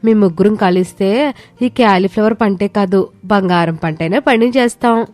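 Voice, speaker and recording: A woman's voice: medium pitch, moderate pace, darkish tone, no echo, close to an everyday voice. A woman voicing a spirited young village daughter-in-law in a moral story, earnest and emphatic. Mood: proud, happy. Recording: music behind the voice.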